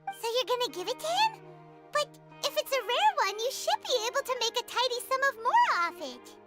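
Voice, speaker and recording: A woman voicing an excitable, pushy, child-like little floating companion in a video game, with music playing. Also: extremely high pitch, fairly quick. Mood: curious, scheming. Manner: exclamatory, nosy, chirpy. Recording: loud music under the voice.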